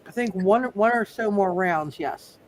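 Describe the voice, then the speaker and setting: A man running a live tabletop roleplay as game master, explaining casually in a halting way with repeated phrases. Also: high voice, slight background noise.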